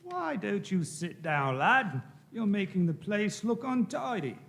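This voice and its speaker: A man plays a suspicious, grumbling police constable in a radio play. He, a high voice for a man and a hugely swinging intonation. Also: very animated, unhurried, gravelly, a bit bright, full character voice. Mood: suspicious, scolding. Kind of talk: live radio play reading.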